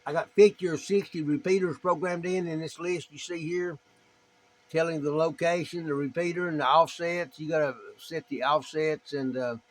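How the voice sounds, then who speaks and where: A man with an East Tennessee accent chatting casually as a ham radio operator, in a folksy, plain-spoken way. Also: a little high. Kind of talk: casual chat and demonstration over ham radio.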